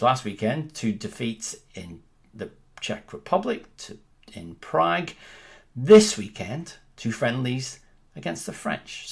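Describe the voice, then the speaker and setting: A man's voice: fairly low pitch, creaky, breathy edge. A Scottish man hosting a sports podcast, asking rambling questions with many restarts. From Scotland. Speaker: podcast host. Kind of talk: conversational sports interview.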